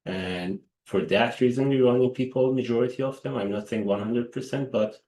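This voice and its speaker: A man's voice: mid-range voice, brisk, creaky, dark tone, roomy sound. A man from Iran in his thirties, a guest analyst speaking earnestly in a press briefing, often restarting his sentences. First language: Persian.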